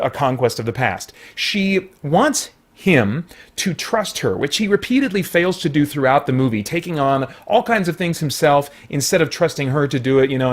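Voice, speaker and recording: Man, slightly high voice, movie reviewer, off-the-cuff commentary, conversational, feels out his phrasing. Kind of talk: casual unscripted review commentary.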